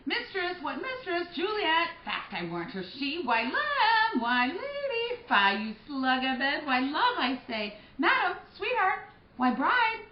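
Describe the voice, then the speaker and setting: A woman's voice: expressive, moderate pace, lightly acted. A woman reading aloud as a fussing, frantic old nurse in a classroom play reading. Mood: scolding fondly. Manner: high shrill calling, rising to panicked wailing. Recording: roomy, slightly dull recording.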